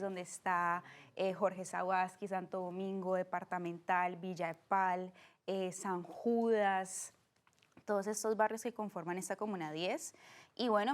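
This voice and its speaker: A woman in her twenties with a Colombian accent from Cali, speaking as a podcast guest in a warm, earnest way with frequent "eh" fillers. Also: medium pitch. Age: 20s. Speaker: guest, art director. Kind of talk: casual interview.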